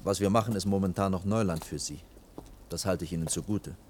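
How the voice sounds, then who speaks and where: An actor plays a proud chief architect with a lowish male voice. He is dry, formal and pedantic, reproving like a schoolmaster in a 1980s radio drama, with faint noise on the recording. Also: brisk, a little mellow, close to an everyday voice. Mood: stern, condescending. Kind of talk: 1980s radio play dialogue.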